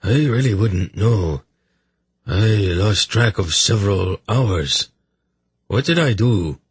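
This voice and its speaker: A wretched, self-pitying royal in a fantasy tale, put on by a man. He moans weakly and plaintively in a breathy, husky voice, drawn out and full of suffering. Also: on the low side, lively intonation, slow, dry recording, pitched above the performer's own voice, exaggerated voice. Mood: dismayed. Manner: plaintive, weak moaning.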